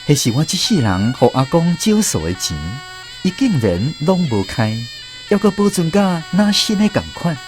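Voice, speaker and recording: A man's voice: on the high side, very animated, moderate pace, over music. A man with a Taiwanese accent playing a grown grandson who narrates memories of his grandfather in a reflective, tender radio drama style. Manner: storytelling, reflective. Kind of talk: scripted first-person radio drama narration.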